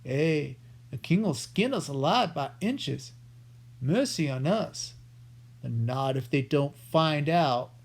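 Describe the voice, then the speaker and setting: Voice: mid-range voice, slow pace, gravelly, heavily acted. A reader voicing a skinny, cackling male outlaw, a villain in a children's story. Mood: alarmed. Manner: cackling, excited.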